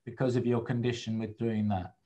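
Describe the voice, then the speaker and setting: A man's voice: medium pitch, flat delivery, brisk, mellow. A middle-aged man asking interview questions from a room at home over a video call. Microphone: computer microphone on a video call.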